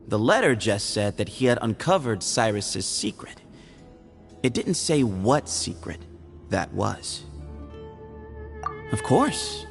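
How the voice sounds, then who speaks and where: A sly young temple guard teases with a gravelly edge and a voice that swings up and down. He dodges questions in an easy, evasive manner, and faint music plays under him. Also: mid-range voice, heavily acted. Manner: teasing, relaxed and evasive. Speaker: game character.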